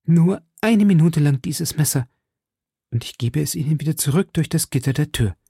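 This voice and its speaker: A man voicing a desperate, scheming noblewoman prisoner, pleading in raised, husky tones and hushed whispers. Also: lively intonation, normal speed, no echo, clean studio sound, full character voice. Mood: imploring. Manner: imploring, breathy whispers and high raised pleas.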